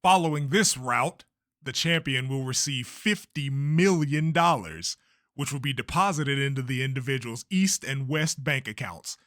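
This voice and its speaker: Male actor as older showman promoter for animated dub: bossy, theatrical, highly animated delivery, bright forceful edge. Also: a little slow, dry recording, clean studio sound. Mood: grand, showy. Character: blustering older male race promoter, secretly fearful showman. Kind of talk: comic-book dub voice acting.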